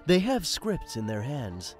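A man's voice: a little high, huge pitch swings, measured pace, soft background music. A man narrating a radio play in the present tense with a conversational storytelling manner.

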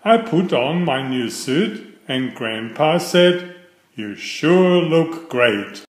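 A middle-aged man reading aloud to young children in a simple storytelling style, with clearly phrased delivery. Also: on the high side, sing-song, extremely slow. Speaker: children's book reader. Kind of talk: read-aloud bedtime storytelling. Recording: roomy, echoey voice recording.

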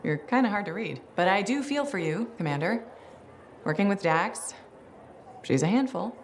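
An actress playing a confident mercenary pilot in a video game, dry and sardonic. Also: female voice, medium pitch, expressive, moderate pace, a little hiss or hum, natural voice. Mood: dry, persuasive.

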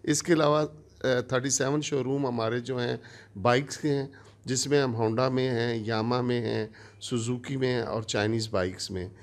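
A man's voice: medium pitch, fairly even intonation, normal speed. A middle-aged man giving a formal introduction as a company operations manager, steadily listing items one by one, speaking into a handheld mic in a conference room.

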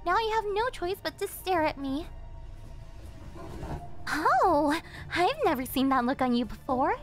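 Casting: obsessive yandere kidnapper girl, audio roleplay. Very high, animated, sugary and possessive, theatrical teasing. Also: unhurried. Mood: infatuated, jealous. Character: obsessive yandere young woman, cheerful cafe server turned kidnapper. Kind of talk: yandere ASMR roleplay acting, anime-style. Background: music.

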